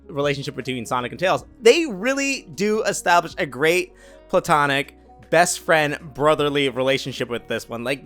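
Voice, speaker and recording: A man with a fairly high voice and very lively, swooping intonation gives a casual video review. He talks straight to viewers in a slangy, playful way, leaning hard on words for emphasis, with light music in the recording. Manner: animated, exaggerated emphasis, playful slangy asides. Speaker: YouTube movie reviewer.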